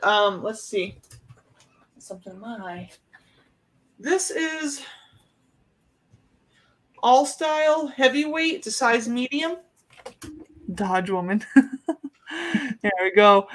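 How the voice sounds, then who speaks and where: A woman's voice: a little high, a bit bright. Chatty woman auctioning clothes on a webcam. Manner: chatty, rambling item descriptions with fillers. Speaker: online auction host. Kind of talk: live-stream clothing auction. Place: small home bedroom.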